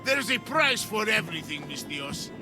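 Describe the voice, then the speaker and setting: Greedy nasty game guard, sneery and threatening, high swooping voice, music under it. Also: male voice, very animated, moderate pace. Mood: smug, menacing. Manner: menacing, sneering. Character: cruel, greedy male guard, bullying extortionist. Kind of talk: video game cutscene acting.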